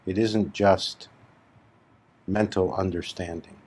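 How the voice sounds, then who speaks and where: Male meditation guide: deep register, unhurried delivery, deliberate phrasing with long gaps. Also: fairly even intonation, darkish tone, husky. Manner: measured, deliberate, with long pauses between short phrases. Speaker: spiritual teacher leading a guided meditation. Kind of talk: slow guided meditation talk.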